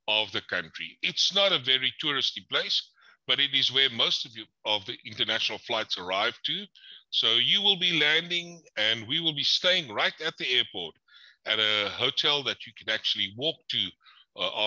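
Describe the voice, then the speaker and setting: Bright Afrikaans-accented man presenting. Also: medium pitch, dry recording, muffled recording. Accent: Afrikaans-accented English. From South Africa. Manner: friendly, many ums and restarts. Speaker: tour operator presenting a destination. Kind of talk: webinar presentation over slides. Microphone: video call.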